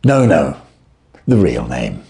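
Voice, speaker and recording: A man voicing a shrewd, calm gentleman detective who speaks blandly and with sweet irony. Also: very deep voice, slow pace, vocal fry, voice pushed down, full character voice. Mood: sweetly ironic. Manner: bland, sweetly ironic, assured.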